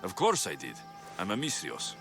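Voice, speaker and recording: An actor playing a blunt, determined Spartan mercenary in a video game, with a sardonic edge. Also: male voice, sits low, huge pitch swings, normal speed, pushed and bright, soft background music, heavily acted. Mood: earnest. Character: blunt, determined male Spartan mercenary searching for his mother.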